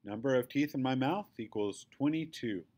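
A man reading a children's story aloud in an animated, expressive storytelling style. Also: medium pitch, moderate pace. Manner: animated, acts out the dialogue.

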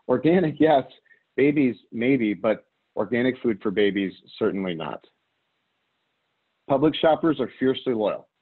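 A man from the Chicago area reads a prepared webinar presentation in a measured, emphatic way, ticking off examples one by one. His voice sits at a middling pitch. The sound is narrow and tinny, as if heard over a phone line. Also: husky. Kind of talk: scripted business presentation. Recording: phone-like narrow band audio.

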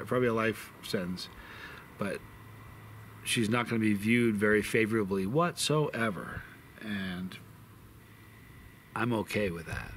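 A man's voice: lowish voice, a bit bright, slight background noise. A middle-aged man hosting his own hot sauce channel, talking casually to the camera from a sunny backyard, with many restarts and fillers. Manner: rambling, frequent restarts and fillers. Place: sunny backyard patio outdoors. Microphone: camera in front, seated close.

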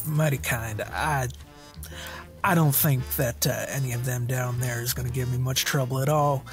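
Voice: mid-range voice, fairly even intonation, moderate pace, faint music behind, close to an everyday voice. A performer voicing a laid-back, mercenary hired tough with a hesitant, folksy manner in a tabletop roleplay. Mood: quietly menacing.

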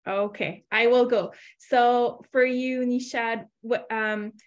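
Higher-voiced young woman moderating. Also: steady tone, slow pace, highs cut off. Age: 20s. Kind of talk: informal online panel moderating. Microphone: wired earphones on video call.

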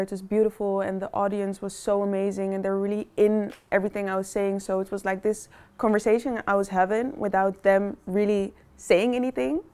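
A woman's voice: medium pitch, darkish tone, clean tone. A young woman, a social psychologist, speaking earnestly and thoughtfully in a casual interview. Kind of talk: casual reflective interview talk.

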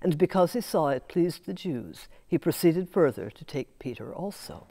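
A woman's voice: low voice, expressive, normal speed, vocal fry, dark tone. An older woman serving as lay reader, steadily reading a scripture lesson from a page. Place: large church sanctuary. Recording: long church reverb.

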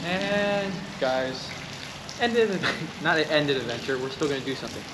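A man's voice: on the high side, lively intonation, a little fast. A man narrating an outdoor vlog in a casual, easygoing way, shrugging off setbacks and talking to his viewers. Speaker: outdoor vlogger. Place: outdoors by a lake and trails. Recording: outdoor recording with clear background noise.

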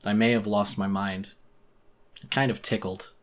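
A man's voice: fairly low pitch, fairly even intonation, moderate pace. A man narrating a first-person horror story as a theatrical dramatic reading.

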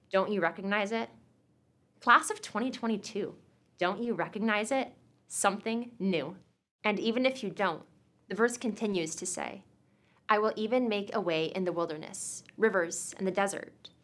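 A young woman, delivering a prepared commencement speech as a graduating student in a measured, reflective way. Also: medium pitch, fairly bright tone. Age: young adult.

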